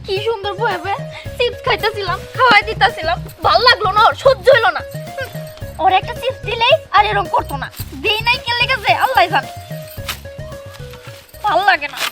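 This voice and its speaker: A woman voicing a cheeky, complaining young cartoon daughter with a squeaky voice and a Bangladeshi accent. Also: animated, brisk. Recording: loud music bed behind voice.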